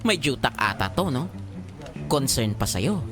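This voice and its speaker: A man playing a flamboyant, teasing office coworker in a Filipino audio drama, with a campy, playful, drawn-out delivery and a Filipino accent. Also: medium pitch, sing-song, over music. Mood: playful bossy.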